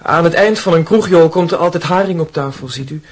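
An actor playing a defensive, hot-tempered young student in a radio drama, with a Netherlands Dutch accent and a husky voice. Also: male voice, a little high, brisk. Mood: contrite. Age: young adult.

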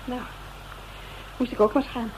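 An actress playing a sharp-tongued, bitter woman in a radio crime drama, speaking curtly and bluntly. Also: on the high side, steady tone, normal speed, very noisy, natural voice. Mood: cool, dismissive. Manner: curt, blunt. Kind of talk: Dutch radio drama acting.

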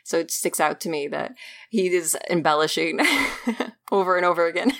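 A woman's voice: lowish voice, moderate pace. A woman co-hosting a podcast, talking casually about a fantasy book series, laughing often and trailing off mid-sentence. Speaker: podcast co-host.

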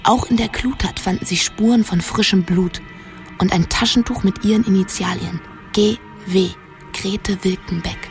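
A woman's voice: medium pitch, steady tone, normal speed, faint music behind, close to an everyday voice. An actress playing a headstrong, secretive young woman in a German radio drama, telling things bluntly and conspiratorially. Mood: conspiratorial storytelling. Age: young adult.

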